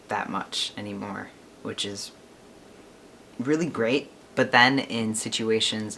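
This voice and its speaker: A man's voice: fairly low pitch, pushed and bright, slight background noise. A man in his twenties chatting casually to his camera, rambling and laughing often. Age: 20s. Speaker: vlogger.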